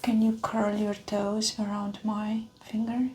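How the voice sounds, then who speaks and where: A woman whispering softly in an ASMR medical exam roleplay, calmly talking through each step of the check. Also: mid-range voice, slow pace.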